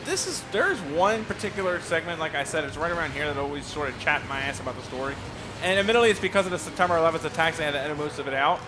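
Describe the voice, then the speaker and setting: A man's voice: fairly high pitch, animated, very fast. A man co-hosting a let's play, giving casual, jokey and profane game commentary. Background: game music.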